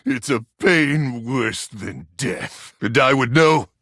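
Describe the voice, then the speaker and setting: A man voicing a gruff, war-weary sci-fi soldier in a video game, melodramatic and intense, with deadpan absurd asides. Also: medium pitch, slow, no echo, clean studio sound, heavily acted. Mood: intense, wounded. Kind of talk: video game character acting.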